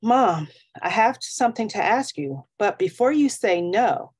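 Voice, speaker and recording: A woman's voice: a little high, sing-song, brisk, a little mellow, husky, pitched above the performer's own voice. A woman voicing an eager, pleading young girl in a children's storybook. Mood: excited pleading. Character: excited pleading young girl who wants a dog.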